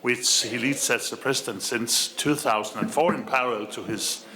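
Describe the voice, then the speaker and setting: A man's voice: mid-range voice, normal speed, bright, forceful tone. A man chairing a conference session, introducing speakers in a hesitant way with many fillers. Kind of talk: formal moderating.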